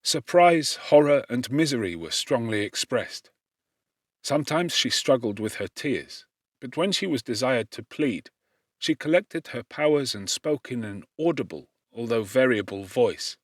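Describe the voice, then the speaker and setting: A man narrating a classic literary audiobook in a solemn, expressive and measured way. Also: medium pitch, dry recording, clean studio sound.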